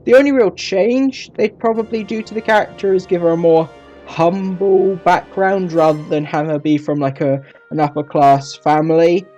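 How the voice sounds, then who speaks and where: A man giving a casual review as a video host, in a halting, self-correcting way. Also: high voice, fairly even intonation, dark tone, muffled recording, faint music behind. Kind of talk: casual audio drama review.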